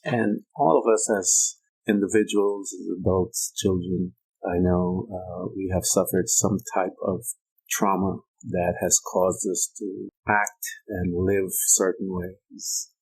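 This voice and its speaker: Middle-aged male podcast host, very low flat delivery, reflective and hesitant. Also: gravelly, low-quality recording. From Guyana. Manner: slow and halting, many ums and uhs. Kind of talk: reflective personal-development monologue. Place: United States.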